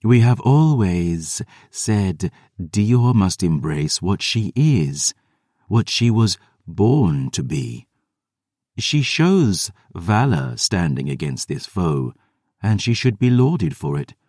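A man voicing a cold, cunning undead woman who speaks in a measured, sly way. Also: low voice, big pitch swings, dark tone, no echo, clean studio sound, exaggerated voice. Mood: cool.

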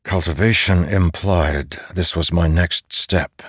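A man's voice: deep voice, fairly even intonation, slow, husky, no echo, heavily acted. A commanding general, voiced by a male audiobook narrator, worn down yet stubbornly defiant. His male voice is earnest and demanding in a dramatic fantasy reading, with a dry, close sound. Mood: grave resolve.

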